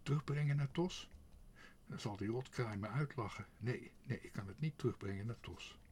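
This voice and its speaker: A man voicing a small cheeky sparrow in a storybook, excitable and boastful yet nervy. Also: medium pitch, moderate pace, slight background noise, natural voice. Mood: nervous fretting.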